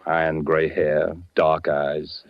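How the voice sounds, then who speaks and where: An actor playing a wry, curious movie director turned amateur sleuth in 1940s radio style, conversational and a little hesitant. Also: male voice, a bass voice, completely flat delivery, takes it slowly, full character voice. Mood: impatient. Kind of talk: 1940s radio acting, first-person narration and dialogue. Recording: dull vintage radio recording, music behind.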